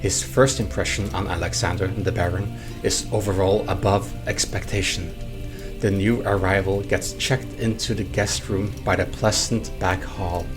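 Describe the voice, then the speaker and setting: Male narrator, very low voice, analytical scripted voice-over, loud music under. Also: steady tone. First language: Norwegian. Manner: analytical, measured.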